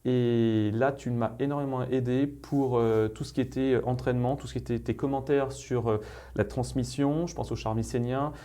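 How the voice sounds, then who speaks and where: A man's voice: mid-range voice, steady tone, moderate pace, mellow. A man hosting an interview show, talking in a chatty, familiar way with many fillers. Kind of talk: casual interview talk.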